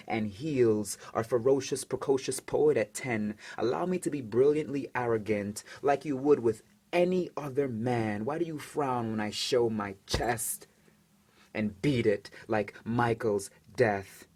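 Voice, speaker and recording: A man's voice: medium pitch, slightly rough. Man performing dramatic spoken word poetry. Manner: dramatic, swings from hushed to loud and emphatic.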